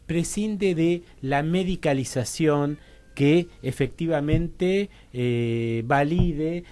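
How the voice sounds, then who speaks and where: A man with an Argentine accent giving an academic explanation as a radio guest, halting and didactic, often checking that he is followed. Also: fairly high pitch, warm tone, soft background music. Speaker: interview guest, university teacher and researcher.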